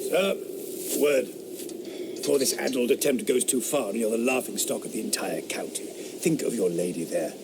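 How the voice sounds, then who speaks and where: A man voices a sardonic eighteenth-century philosopher in a radio drama. He speaks drily, scoffing at every theory in a mid-pitched voice. Outdoor ambience sits behind him. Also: normal speed, noisy background, lightly acted. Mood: urgent. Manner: dry, mocking, skeptical. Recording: audio drama with woodland ambience.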